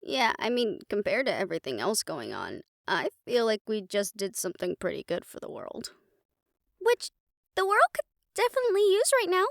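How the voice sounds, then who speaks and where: A woman's voice: medium pitch, huge pitch swings, normal speed, gravelly, no echo, clean studio sound. A woman playing cheeky young women from a troublemaking friend group in an audio drama, flippant and defensive as they protest. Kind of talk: scripted audio roleplay drama acting.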